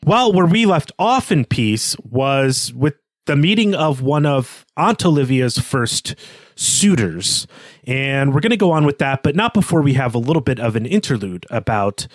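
A man's voice: medium pitch, animated, normal speed, no room sound. A man co-hosting a podcast, talking in an informal, conversational way. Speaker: podcast co-host. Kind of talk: casual podcast chat.

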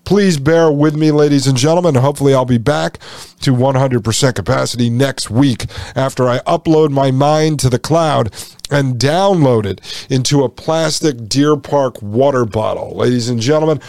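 Smooth-voiced male podcast host. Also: mid-range voice, moderate pace, a little mellow, dry recording. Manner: chatty, addresses listeners as folks. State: losing his voice. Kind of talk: solo podcast monologue.

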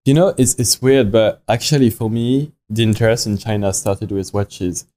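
A young man with a French accent and a low-pitched, very mellow voice. He chats informally as a podcast guest, recounting things in an easy conversational style and often quoting himself as he goes. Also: fairly even intonation, normal speed. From France. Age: young adult. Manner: informal, frequent self-quoting in anecdotes. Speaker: podcast guest, watch company founder. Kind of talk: casual conversational storytelling.

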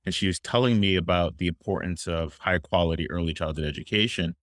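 Deep-voiced man telling his story. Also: a little fast, no room sound, clean studio sound. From Miami, Florida. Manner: earnest, storytelling, with fillers. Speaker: guest, childcare company founder. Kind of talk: conversational interview answers.

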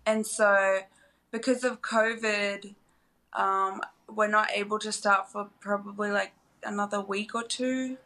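Australian woman in her twenties, college athlete guest, bright tone, soft and hesitant delivery. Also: mid-range voice, steady tone, measured pace, low-quality recording. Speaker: college basketball player, guest. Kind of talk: interview answers.

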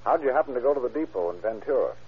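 Husky sardonic police sergeant, old radio. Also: male voice, medium pitch, expressive, rapid-fire. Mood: probing. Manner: dry, sardonic questioning. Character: shrewd, sardonic, unflappable male police sergeant. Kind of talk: 1940s radio crime drama acting. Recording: tinny, muffled old radio recording.

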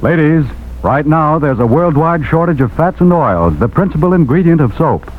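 Lively vintage radio pitchman, tinny sound. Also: normal speed, a little hiss or hum. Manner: emphatic, polished salesman delivery. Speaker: radio announcer. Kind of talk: 1940s radio commercial announcing. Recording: tinny, narrow old radio recording.

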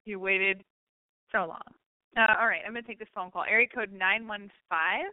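A woman's voice: mid-range voice, moderate pace, no echo. A woman hosting a call-in show, giving blunt, emphatic advice to callers. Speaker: radio call-in host. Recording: phone-like narrow sound.

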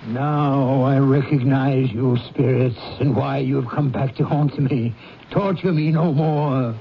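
An actor playing a haunted, defensive old miser in a 1970s radio drama, speaking theatrically and haltingly with remorse. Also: male voice, mid-range voice, fairly even intonation, vocal fry, slight background noise. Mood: anguished pleading. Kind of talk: 1970s radio drama acting with first-person narration. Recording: dull 1970s radio broadcast, music underneath.

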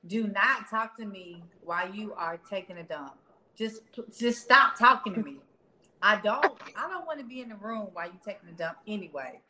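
A woman guest chatting casually, telling things in a slangy, comic, animated way. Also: medium pitch, reverberant, a little hiss or hum, low-quality recording. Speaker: interview guest. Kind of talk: casual chat.

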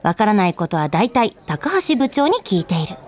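A voice actress playing a young woman office worker in a radio drama, polite, expressive and cheekily blunt. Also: medium pitch, normal speed. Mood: confiding narration. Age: young adult. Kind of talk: anime-like radio drama acting with first-person narration.

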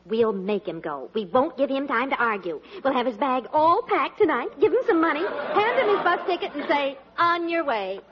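An actress playing an affectionate, brisk American wife and mother in a 1940s radio comedy. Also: sits high, lively intonation, a crowd in the background. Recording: muffled old radio, audience behind.

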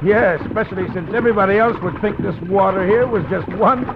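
A man's voice: sits high, quick pace. An actor playing an agreeable, chuckling outlaw henchman with an American Western accent, in 1940s radio acting. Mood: gleeful. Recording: muffled old radio recording with clear hiss.

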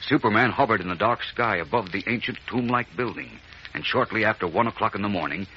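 A man announcing and narrating a 1940s radio serial in a dramatic, emphatic scripted style. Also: lowish voice. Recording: muffled, faintly noisy 1940s radio recording.

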